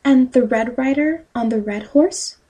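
Soft, husky, high-voiced timid girl played by a woman. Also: measured pace. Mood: meek, polite. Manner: meek, polite delivery. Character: timid, dutiful young girl servant in fairy tale. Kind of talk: fairy-tale audio drama acting.

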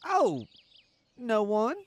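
A woman's voice: a little high, animated, extremely slow. A woman playing a meek, clumsy young male duckling in an audio drama, timid and apologetic. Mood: downcast, shy.

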